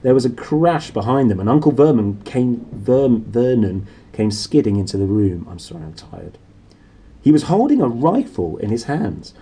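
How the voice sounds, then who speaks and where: A man's voice: medium pitch, sing-song, normal speed, dark tone, slightly rough. A man reading a story aloud in a theatrical, playful and dramatic way. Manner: theatrical, playful, self-correcting. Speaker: storybook reader. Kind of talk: dramatic, expressive storybook narration.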